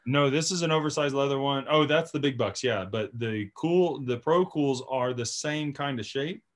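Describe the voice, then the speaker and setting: Guy hosting a podcast, medium pitch, casual and chatty, kinda emphatic, lots of "you know". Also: normal speed. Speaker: podcast host.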